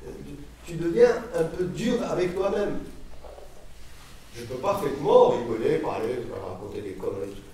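A man's voice: a little high, moderate pace. An older man from Morocco speaking to a student audience in a seminar room, telling stories with many fillers and digressions. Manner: digressive storytelling, lively gestures, frequent fillers. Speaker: guest speaker. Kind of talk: informal lecture to students. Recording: echoey room, distant mic, faint noise.

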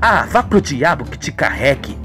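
A woman's voice: low voice, sing-song, normal speed, fairly bright tone, soft background music. A narrator voicing a scheming, two-faced young woman in a radio soap, with feigned sorrow and haughty defiance. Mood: defiant. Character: scheming, falsely sweet young woman villain.

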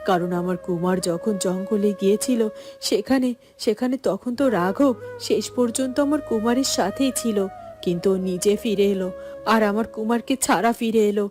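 A woman voicing an anxious, suspicious queen in a cartoon, fretful and haltingly repeating her words. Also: medium pitch, a little mellow, faint music behind, close to an everyday voice. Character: anxious, suspicious queen, protective mother of a prince. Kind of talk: Bengali cartoon voice acting.

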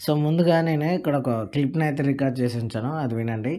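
A man's voice: medium pitch, very fast, mellow, a little hiss or hum. Man explaining a phone app. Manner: explains plainly, mixing English words into Telugu. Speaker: tech channel host. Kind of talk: screen-recorded app tutorial.

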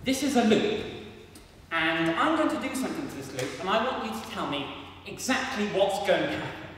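A young man giving an energetic, self-deprecating maths demonstration on stage in a large hall, chatting with the audience while using a handheld mic that he sometimes puts down. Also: high-pitched, moderate pace, recorded from a distance, a little hiss or hum. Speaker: maths performer at a variety show. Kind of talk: live stage talk with hands-on demonstration. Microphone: handheld mic, sometimes set down. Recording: echoey hall, voice picked up from afar.

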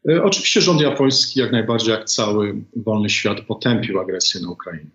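A middle-aged man as an interview guest, giving a measured political analysis in a deliberate way with many hesitant pauses, heard over a video call. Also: on the low side, fairly bright tone. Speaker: guest, former ambassador. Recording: echoey, dull video call audio.

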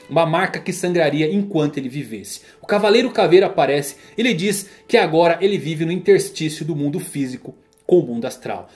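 A man's voice: on the high side, a little mellow. A man with a Brazilian accent delivering dramatic scripted narration, like a storyteller explaining a saga. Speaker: narrator of an anime and manga explainer channel.

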